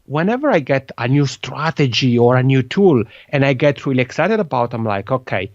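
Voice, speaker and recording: A man from the Italian-speaking part of Switzerland with a Swiss Italian accent and Italian as his first language, a guest on a podcast. He talks in a lively way, repeating words and restarting phrases often. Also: medium pitch, fairly quick, dry recording, dull audio. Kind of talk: conversational interview.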